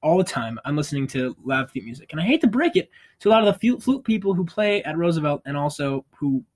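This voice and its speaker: A teenage guy hosting a homemade podcast. His voice sits a little high for a man, and he jumps between quick, excited bursts and teasing questions. It sounds like casual chat with a friend. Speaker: podcast host. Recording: amateur home podcast mic.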